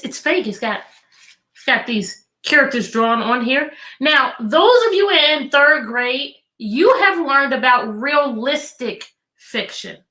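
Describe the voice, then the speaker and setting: A woman teacher hosting an online read-aloud for children, speaking in an animated, encouraging way and putting questions straight to her young viewers. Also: high-pitched. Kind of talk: live educational talk to children online. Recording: echoey room sound.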